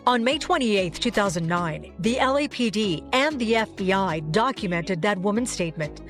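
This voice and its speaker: Female TV news reporter, medium pitch, formal scripted read, faint music underneath. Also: animated, normal speed. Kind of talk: scripted broadcast news narration.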